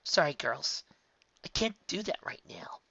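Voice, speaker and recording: A secretive young pony mare, voiced by a woman speaks soft and hushed, pleading and withdrawn. Her voice is raised in pitch yet kept quiet. The sound is dull and lo-fi with a dry, close feel. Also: animated, moderate pace, vocal fry, breathy edge, dry recording, recorded from a distance, exaggerated voice.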